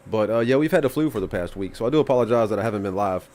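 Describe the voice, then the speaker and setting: A man's voice: lowish voice, expressive, rapid-fire, a little mellow. A man hosting a live music review stream, congested from the flu, talking in a rambling, laid-back way with casual swearing. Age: adult with children.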